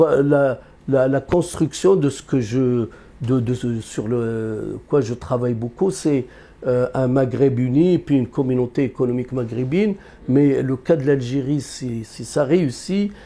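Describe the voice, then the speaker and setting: A man giving an unscripted interview as an economist, earnest and halting, with many fillers and restarts. He grew up in Algeria and has Berber roots. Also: medium pitch, mellow.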